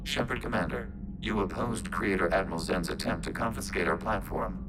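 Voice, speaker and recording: A low-voiced, logical machine being from a sci-fi game, speaks in measured, formal statements as "we". The voice is filtered and synthetic, with loud music playing behind it. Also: male voice. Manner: measured, clipped, formal phrasing, calling itself we. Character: calm, logical robotic alien machine intelligence, formal and literal. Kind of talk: video game voice acting.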